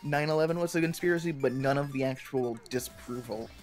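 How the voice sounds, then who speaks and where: A young man chatting casually on a live stream, telling stories in a rambling, halting way full of filler words. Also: mid-range voice, soft background music. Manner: rambling, halting storytelling peppered with 'like'. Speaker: stream co-host.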